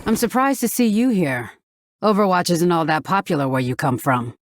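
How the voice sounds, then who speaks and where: A woman's voice: lowish voice, animated, moderate pace, close to an everyday voice. A woman playing a wry, confident video game fighter with a teasing manner. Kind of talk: video game character acting. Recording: echoey hall with faint noise.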